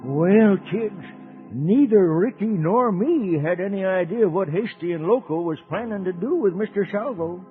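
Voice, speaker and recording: An American man playing a folksy old cowboy uncle, telling a radio serial to children in a rustic drawl with a husky voice. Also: high-pitched, expressive, moderate pace, faint music behind. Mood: folksy, friendly. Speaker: narrator. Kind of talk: 1950s radio serial storytelling. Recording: dull old radio recording.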